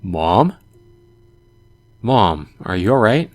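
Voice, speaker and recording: A man's voice: on the low side, big pitch swings, drawn-out words, faint music behind, heavily acted. A man playing an earnest, self-doubting teenage boy in a visual novel, speaking hesitantly. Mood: concerned. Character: earnest, self-doubting teenage son telling his story.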